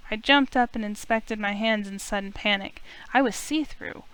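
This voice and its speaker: Female story reader, smooth mid-pitched voice, dramatic first-person narration for a game stream. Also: moderate pace, a bit bright, low-quality recording. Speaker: streamer playing a visual novel. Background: faint music.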